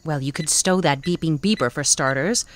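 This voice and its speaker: Wry elderly female sleuth, played by a woman, slightly low, knowing and unflappable, for audio comedy. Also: normal speed, noisy background, natural voice. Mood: shrewd, knowing. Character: shrewd, unflappable senior woman, fugitive retirement-home sleuth. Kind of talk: audio comedy drama acting.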